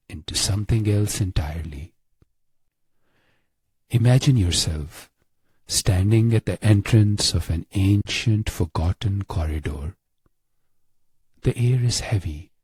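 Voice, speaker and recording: A man narrating a guided meditation in a hushed, measured way with long pauses. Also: deep voice, breathy edge, no echo.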